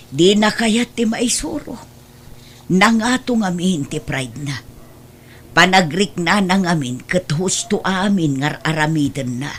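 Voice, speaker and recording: A woman's voice: sits low, slight background noise. A woman playing a long-suffering, affectionate older mother with a Filipino accent, speaking plaintively in an Ilocano family radio drama.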